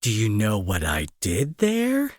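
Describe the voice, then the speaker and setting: A man's voice: on the low side, huge pitch swings, takes it slowly, no echo, clean studio sound, full character voice. A young man playing an irritable, sarcastic bully who scolds with hidden worry, in an intimate roleplay audio drama. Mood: irritated, demanding. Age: young adult. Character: irritable young male bully, gruff but secretly worried.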